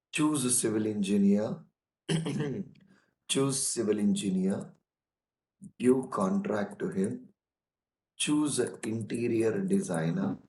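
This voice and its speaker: A hoarse man with a cold, teaching a casual online lesson on software testing, calling his listeners "guys" and repeating his points. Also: lowish voice, echoey room, low-quality recording. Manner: slow and repetitive, addresses listeners as "guys".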